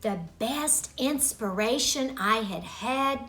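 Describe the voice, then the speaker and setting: Slow-paced woman storyteller with a higher voice. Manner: deliberate, expressive, dramatic pauses and hushed asides. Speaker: children's storyteller. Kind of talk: storytelling for children, personal childhood tale.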